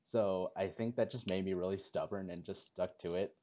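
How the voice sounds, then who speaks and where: Low-voiced young man chatting. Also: normal speed, a little hiss or hum. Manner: relaxed storytelling, laughs often. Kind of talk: casual group chat.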